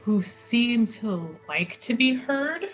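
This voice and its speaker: A woman's voice: on the high side, lively intonation, slow pace, soft background music. Chatty woman player in a tabletop game. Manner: chatty, filler-heavy. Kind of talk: casual roleplay chat.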